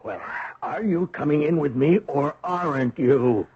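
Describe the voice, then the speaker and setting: Very raspy, desperate businessman. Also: fairly high pitch, measured pace. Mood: pressing. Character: desperate, overextended businessman father, pressing and blustering. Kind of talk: 1970s radio drama acting. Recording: dull, lo-fi old radio recording.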